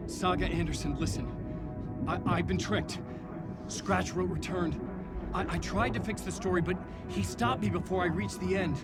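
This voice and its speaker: A man performing a trapped writer in a horror game, desperate and earnest. He speaks high and urgently, halting at times, beneath very loud music. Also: fairly even intonation, close to an everyday voice. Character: desperate trapped male writer urging a hero onward.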